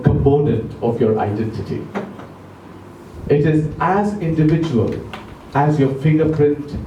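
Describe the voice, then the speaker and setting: Man talking slowly to a club crowd, dark mellow voice, roomy echo from far off. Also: medium pitch, slight background noise. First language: not English. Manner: slow, deliberate, asks the audience questions. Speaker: club speaker giving an educational talk. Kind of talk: public speaking talk with audience questions. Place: club meeting room. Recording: echoey room recorded from afar.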